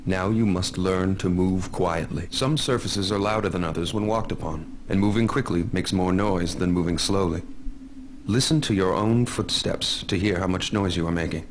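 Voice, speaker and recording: An actor playing a composed, stern mentor who gives measured, formal instructions in a video game tutorial. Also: male voice, deep voice, close to an everyday voice. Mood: calm, instructive. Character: stern, composed male mentor training a young thief. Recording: game audio with noisy background.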